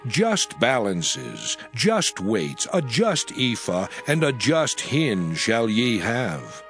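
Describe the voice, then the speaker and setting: Dramatized scripture: commanding God figure, acted by a man, solemn emphatic declamation with widely swinging pitch, music beneath. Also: wildly swooping pitch, extremely slow, gravelly, fairly bright tone, full character voice. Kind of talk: dramatized scripture reading in archaic English. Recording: music under the voice.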